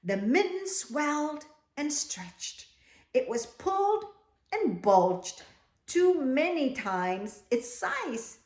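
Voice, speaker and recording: Female children's storyteller, high-pitched and expressive, unhurried delivery. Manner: animated, exclaiming, prompting listeners with questions. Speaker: read-aloud storyteller for children. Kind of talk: expressive picture-book reading with asides to young listeners. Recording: echoey room.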